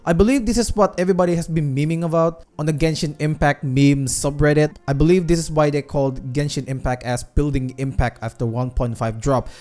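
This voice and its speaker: Chatty, higher-voiced male gaming streamer. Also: steady tone, brisk, hardly any pauses, a little mellow. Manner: chatty, addresses viewers as guys. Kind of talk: casual gameplay commentary. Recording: game music behind the voice.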